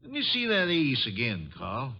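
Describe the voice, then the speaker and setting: An actor playing a hot-headed card player, blurting and excitable, in old radio drama style. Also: male voice, mid-range voice, moderate pace, creaky, slightly rough, heavily acted. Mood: angry, accusing. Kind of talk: 1950s radio drama acting. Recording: muffled old radio recording.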